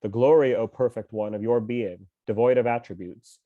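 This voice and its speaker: A man's voice: medium pitch, normal speed, no room sound, narrow, tinny audio. Man reading scripture at home, slightly ill. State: mildly ill with a cough. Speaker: scripture reader and teacher. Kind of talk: reading a scripture translation aloud.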